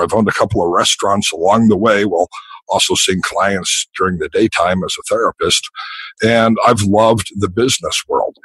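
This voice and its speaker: Convidado masculino de meia-idade: timbre grave e rouco, entrega estável e comedida, gravação seca. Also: male voice, fairly bright tone, breathy edge, no echo, rough recording. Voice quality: deep, throaty voice. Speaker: guest, psychotherapist and business consultant. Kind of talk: podcast interview answers.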